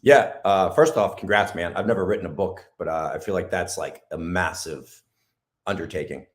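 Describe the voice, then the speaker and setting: A man with a hoarse, raspy voice, talking casually as an interview guest with many ums and restarts. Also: deep voice. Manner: halting, lots of ums and restarts.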